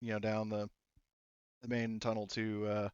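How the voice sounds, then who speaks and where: A man running a tabletop game as game master, narrating casually and haltingly with informal, sweary phrasing. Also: on the low side, monotone, clean tone, no room sound, dull audio.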